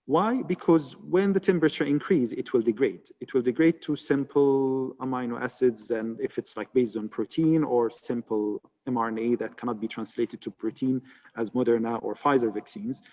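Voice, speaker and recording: A man's voice: mid-range voice, normal speed, darkish tone, big-room sound. Man from Egypt explaining vaccine science. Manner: explanatory, many fillers like "uh" and "like". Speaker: malaria vaccine researcher. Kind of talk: webinar panel answer. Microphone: video call.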